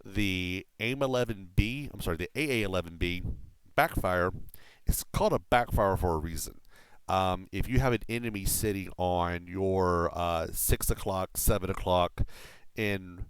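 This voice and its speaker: A man talks through a flight combat game for his stream, speaking from a home desk setup. His voice sits low and comes across smooth and resonant, with a dry, close sound. He rambles loosely, filling gaps with "uh" and "you know" and letting a swear slip out. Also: moderate pace, no room sound. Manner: rambling, with frequent fillers and casual swearing. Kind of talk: casual gameplay explanation on a live stream. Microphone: desk microphone through a mixer.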